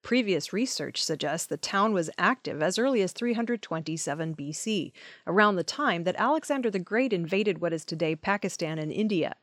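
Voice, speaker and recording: A woman's voice: on the low side, expressive, moderate pace, dry recording, clean studio sound. A woman reading scripted news stories as the presenter of an audio news program. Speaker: news reader.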